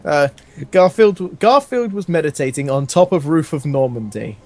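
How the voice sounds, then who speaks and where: A man's voice: on the high side, big pitch swings, moderate pace, a little mellow, soft background music. A man doing a casual comic read-aloud as a host, jokey and often breaking off from the reading to riff. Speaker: host reading fan fiction aloud while gaming.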